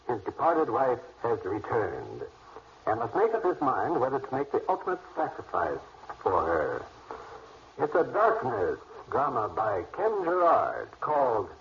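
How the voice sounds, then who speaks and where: A man's voice: medium pitch, a little hiss or hum. A man playing a gleefully macabre, sly radio horror host, narrating theatrically. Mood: ominous teasing. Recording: echoing, dull radio recording with music.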